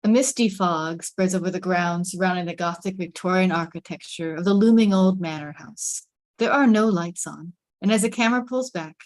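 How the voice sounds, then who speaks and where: A woman with a medium-pitched voice takes part in an online table read as the reader of action descriptions. Her delivery is that of script narration and plain introductions, picked up over a video call. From United States.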